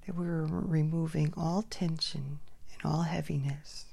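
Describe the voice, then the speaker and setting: A woman leading a guided sleep meditation in a soft, often near-whispered voice, giving gentle instructions in short, unhurried phrases. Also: sits low, fairly even intonation, gravelly, slightly rough.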